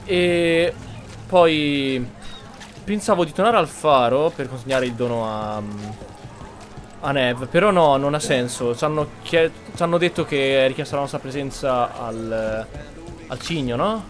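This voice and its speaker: A man's voice: mid-range voice, normal speed, soft background music. An Italian man doing casual game commentary, musing aloud and repeating bits of the dialogue. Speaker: gameplay streamer.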